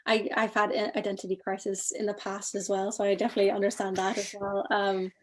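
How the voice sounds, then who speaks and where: Young woman hosting an online panel, steady flat-ish voice, lots of ums. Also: mid-range voice, fast talker. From Northern Ireland. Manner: thoughtful, hesitant with fillers. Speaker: panel host.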